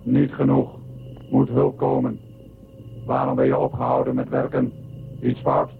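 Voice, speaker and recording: Gravelly, curt android foreman. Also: mid-range voice, little pitch movement, a little slow. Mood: stern, disdainful. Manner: clipped, telegraphic sentences. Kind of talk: science fiction radio drama acting. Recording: lo-fi phone-like band, music behind.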